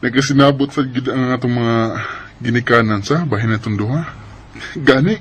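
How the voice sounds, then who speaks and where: A man's voice: medium pitch, normal speed, lightly acted. Tender young male sweetheart played by an actor. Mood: courting, hopeful. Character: affectionate young male suitor, sweet and earnest. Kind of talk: Filipino radio drama acting. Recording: faint background noise and ambience.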